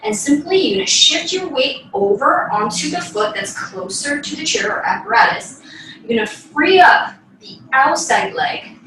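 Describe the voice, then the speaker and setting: Woman, young adult, ballet instructor, lively intonation, step-by-step guidance, very echoey small room. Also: high voice. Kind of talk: instructional class talk with demonstration. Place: small bedroom, indoors. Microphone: far camera across the room. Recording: echoey room, distant mic, faint noise.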